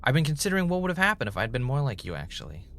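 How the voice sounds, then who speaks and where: An actor playing an intense, brooding podcast host in an audio drama, defensive and unnerved. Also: male voice, medium pitch, big pitch swings, rapid-fire, fairly bright tone. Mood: earnest. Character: intense, obsessive, unnerved male podcast host, former game-show contestant. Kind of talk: audio drama mixing confessional monologue and conversation.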